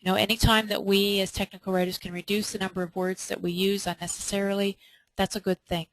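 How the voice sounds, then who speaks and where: A woman's voice: medium pitch, flat delivery, fast talker, slight background noise, poor audio. A woman presenting a webinar as an instructor, answering questions emphatically and often posing rhetorical questions of her own. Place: indoors, online webinar.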